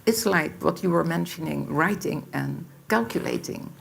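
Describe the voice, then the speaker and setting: A woman's voice: fairly low pitch, normal speed, creaky, husky. A woman speaking as a panelist in a panel discussion, breaking in with short remarks.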